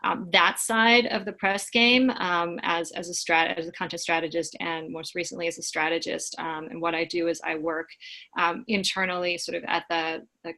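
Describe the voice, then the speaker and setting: A woman's voice: on the low side, flat delivery, moderate pace, bright, forceful tone. A woman in her forties from Washington State, whose first language is Swedish, chatting casually on a video call and often repeating words as she restarts her sentences. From Washington State, USA. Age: 40s. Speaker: community head and call host.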